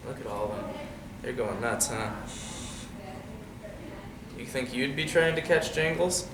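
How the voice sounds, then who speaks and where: A man reads a children's story aloud with a very lively, swinging pitch and casual asides to his young listeners. He sounds set back from the microphone in an echoey room. There is clear background noise around his voice. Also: measured pace. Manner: conversational, checks in with young listeners using questions. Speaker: storyteller reading a picture book aloud to children. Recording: roomy, distant mic with clear background noise.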